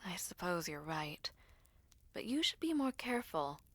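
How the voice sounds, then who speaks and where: A woman voicing an anxious, protective young princess in an audio story, earnest and cautioning, with breathy intensity. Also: fairly low pitch, very animated, a little slow, a bit bright, dry recording, heavily acted. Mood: resigned worry.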